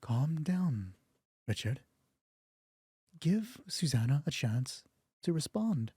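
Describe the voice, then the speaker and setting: A man acting a calm, patient marriage therapist who speaks softly and soothingly to mediate, in an audio drama. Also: fairly high pitch, husky, dry recording, clean studio sound, exaggerated voice. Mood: placating. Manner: soft-spoken, soothing, measured.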